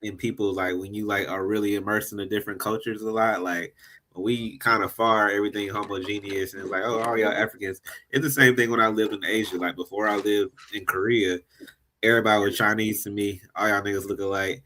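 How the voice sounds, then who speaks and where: A man's voice: lowish voice, steady tone, fairly quick, pushed and bright, a little hiss or hum. A man talking casually on a discussion panel, loose and slangy.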